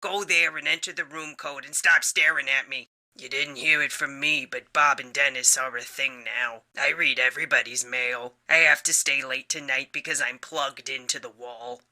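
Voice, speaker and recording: A man voicing a jaded, grumpy office machine as a video game announcer, with a deadpan, grudging delivery. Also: medium pitch, moderate pace. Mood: deadpan, weary. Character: jaded, grumpy male talking office machine, bored employee. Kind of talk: scripted comedic game announcements.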